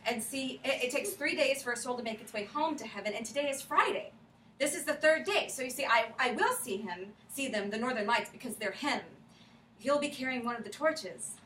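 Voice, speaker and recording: Bright, echoey actress as a nervous young widow. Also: female voice, sits high, animated. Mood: earnest, wistful. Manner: halting, repeats words, earnest. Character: nervous, wistful grieving young widow named Glory. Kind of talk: staged reading of a play. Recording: roomy stage reading, distant mic, some noise.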